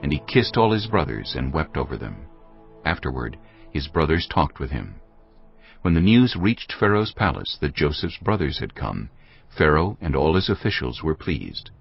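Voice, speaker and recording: A man's voice: rumbling low, very animated, normal speed. A man narrating scripture as a dramatic scripted reading. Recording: dull audio with clear music bed.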